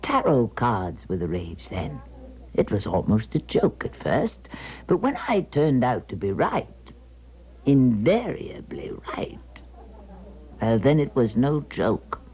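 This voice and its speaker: Very deep-voiced old clairvoyant. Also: female voice, big pitch swings, full character voice. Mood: confiding, earnest. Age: older. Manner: theatrical, deliberate, hushed breathy phrase endings. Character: elderly Russian émigré woman, former clairvoyant, earnest and mysterious. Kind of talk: 1970s radio drama acting, confiding monologue. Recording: muffled 1970s radio broadcast recording.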